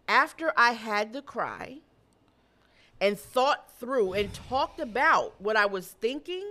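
A woman co-hosting a casual podcast, her voice sitting a little high. She tells stories in a blunt, animated way and swears freely. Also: slow. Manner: blunt, profane and animated storytelling. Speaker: podcast co-host. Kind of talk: casual chat.

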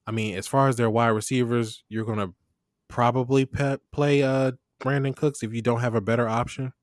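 A man's voice: mid-range voice, fairly even intonation, moderate pace, dry recording. Young male podcast host, easygoing slang, dry close-miked sound. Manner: laid-back slangy, laughs often. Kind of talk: casual fantasy football chat.

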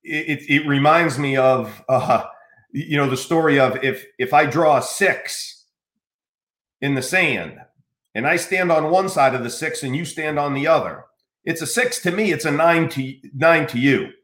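Man, from the United States, podcast host, earnest, restarts often, slightly high voice, echoey room. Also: unhurried, a bit bright. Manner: earnest, many restarts and repeated words. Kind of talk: casual interview chat.

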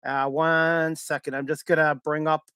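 A man hosting a casual podcast, talking in a halting way with many fillers and restarts. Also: a little high, level tone, bright, forceful tone, clear, resonant tone. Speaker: podcast host.

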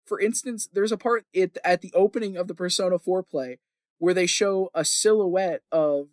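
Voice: medium pitch, moderate pace, dry recording. A podcast host chatting casually in an opinionated ramble, emphatic and sweary, often repeating phrases for emphasis.

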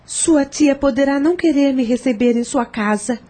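A woman's voice: sits high, normal speed, warm tone, natural voice. An actress with a Brazilian accent playing an anxious, fretful mother in hiding in a radio drama. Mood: worried.

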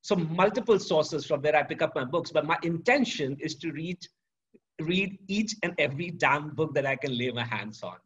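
A middle-aged man, a professor answering interview questions in a measured, storytelling way. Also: a little high, expressive, a bit bright, husky. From India. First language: Sanskrit. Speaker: guest, professor. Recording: dull lo-fi audio.

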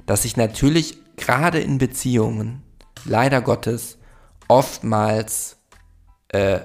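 A man's voice: mid-range voice, vocal fry. A man in his forties from Germany hosting a solo podcast, talking in a reflective, rambling way with many fillers. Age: 40s. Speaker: podcast host.

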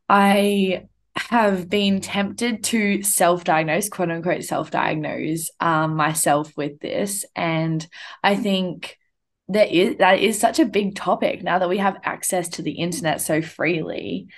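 Woman, young, podcast host, low-pitched, casual reflective chat. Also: steady tone, slow pace. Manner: reflective, uses many fillers like "like" and "um".

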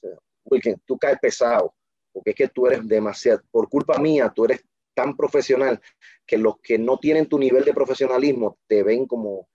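Hombre seguro de sí, voz oscura y ronca. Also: male voice, medium pitch, warm tone, slightly rough, no room sound. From Dominican Republic. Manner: emphatic, self-assured, full of restarts. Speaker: interview guest. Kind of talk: casual interview storytelling. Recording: muffled dry lo-fi sound.

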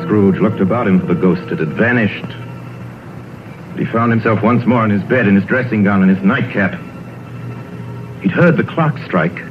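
A man narrating a scripted vintage radio drama with measured, solemn phrasing. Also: fairly low pitch. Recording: muffled vintage radio broadcast, music behind.

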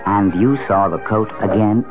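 A man's voice: on the low side, fairly even intonation, normal speed, close to an everyday voice. A man playing a breezy, teasing newlywed husband who brushes worries aside in a radio mystery. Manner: breezy, teasing, dismissive of worry. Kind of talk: 1970s radio mystery acting. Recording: muffled 1970s radio, music behind.

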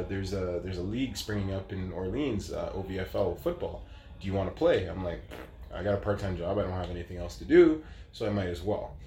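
A man's voice: low voice, a little mellow, reverberant, a little hiss or hum. A man in his late thirties telling his story as an interview guest, casual and rambling, with lots of fillers. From Canada. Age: 30s.

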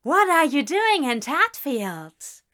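A woman voicing a gushing, falsely cheerful woman who is loud, pushy and overly bright. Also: on the high side, animated, slow.

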